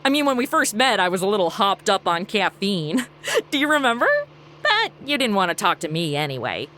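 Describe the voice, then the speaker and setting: A woman's voice: mid-range voice, very animated, a little fast, sharp tone. A woman playing a brash, flippant young woman from the future in a comedy audio drama, with breezy, sarcastic modern slang. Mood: casual, wry.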